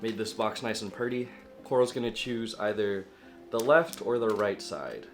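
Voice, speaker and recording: Hoarse, rambling card-collecting guy. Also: lowish voice, lively intonation, normal speed, faint music behind. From Seattle area, USA. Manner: chatty, rambling, self-correcting. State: hoarse, voice recovering. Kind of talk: casual vlog talk to camera. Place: at home.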